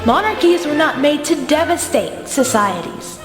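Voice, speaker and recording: A woman's voice: sits high, very animated, moderate pace. A woman playing a confident, defiant debater in a radio drama, recorded in an echoey hall with music. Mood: defiant, formal. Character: confident, defiant female debater defending monarchy. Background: loud music.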